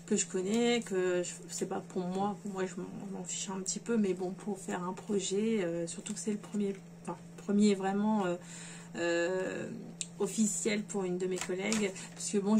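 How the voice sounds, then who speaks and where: Rambling woman with noise behind. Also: medium pitch. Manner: rambling, hesitant, many fillers and restarts. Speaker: sewing vlogger. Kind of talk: casual chat to camera. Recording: noisy home recording.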